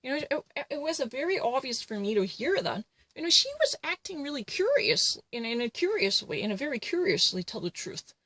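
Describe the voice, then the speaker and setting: Indignant husky woman ranting. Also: sits high, big pitch swings, a little fast, fairly bright tone, no echo. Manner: indignant, repetitive, peppered with "actually" and "you know". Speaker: vlogger. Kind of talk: ranting monologue to camera.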